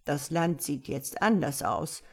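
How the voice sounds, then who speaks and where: Woman as a gravelly, patient wise old-world tomcat. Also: sits low, pitched below the performer's own voice, exaggerated voice. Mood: solemn, mysterious. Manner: measured, gently instructive, slightly pompous. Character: wise, patient male tomcat, calm mysterious mentor. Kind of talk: storybook character voice.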